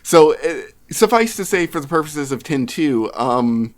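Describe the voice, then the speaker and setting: A man co-hosting a podcast in casual discussion, speaking in a measured, halting way as he thinks aloud. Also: a little high, sing-song. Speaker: podcast co-host.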